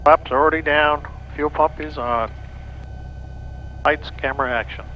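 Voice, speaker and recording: A man's voice: on the high side, fairly even intonation, measured pace. A man working as a pilot, making radio calls and talking through checks in a small airplane cockpit. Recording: dull cockpit audio, engine noise.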